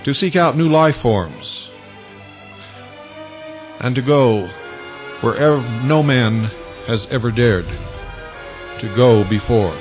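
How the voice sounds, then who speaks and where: An American man hosting a talk radio monologue, speaking deliberately with dramatic pauses and a portentous, preaching manner, on an old shortwave recording. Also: mid-range voice, lively intonation, muffled recording, music in the background. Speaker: radio show host. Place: radio broadcast.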